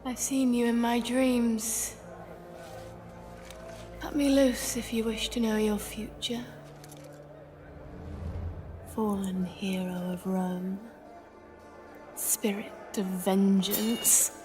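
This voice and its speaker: A woman's voice: mid-range voice, level tone, slow, long pauses, lightly acted. A woman playing a mysterious oracle in a video game, delivering bloody prophecies in an ominous, portentous way. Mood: cryptic, urgent. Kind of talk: dramatic video game acting. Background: loud dramatic music.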